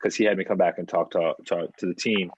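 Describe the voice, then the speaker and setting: A young man from New York, a professional baseball player, talking calmly and reflectively as a podcast guest. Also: low voice, fast talker, breathy edge, no room sound, highs cut off. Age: young adult. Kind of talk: podcast conversation.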